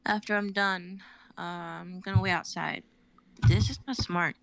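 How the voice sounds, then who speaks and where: A woman with a gravelly voice reading an anxious, pleading role in a live dramatic reading. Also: mid-range voice, steady tone, normal speed. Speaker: actor in a reading.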